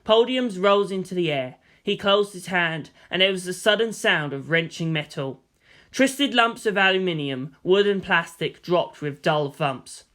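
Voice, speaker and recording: A woman reading fan fiction aloud as narrator, steady and expressive. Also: fairly low pitch, unhurried.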